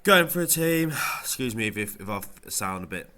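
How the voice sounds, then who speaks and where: A man's voice: lowish voice, big pitch swings, normal speed, bright, forceful tone. A man with a cold and a nasal voice, casually reviewing his fantasy football week in a vlog. He rambles a little, with lots of ums and restarts. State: has a cold, sounds nasal. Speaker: fantasy football video creator.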